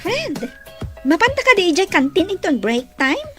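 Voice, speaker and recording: A woman playing an expressive, teasing friend with an Ilocano accent. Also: very high-pitched, normal speed, faint music behind, exaggerated voice. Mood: advising. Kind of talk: audio drama acting.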